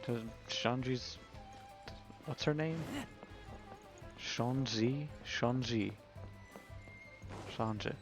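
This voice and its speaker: A man giving casual, wry live commentary as he streams a video game, in a creaky voice. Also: mid-range voice, drawn-out words, long pauses, over music. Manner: wry, sarcastic.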